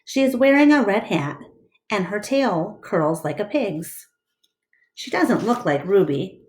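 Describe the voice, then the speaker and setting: A woman teacher reading a storybook aloud to her class with expressive narration. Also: mid-range voice, unhurried.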